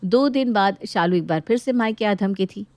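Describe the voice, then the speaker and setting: A woman's voice: mid-range voice, normal speed, darkish tone, no echo. Woman narrating a scripted family story.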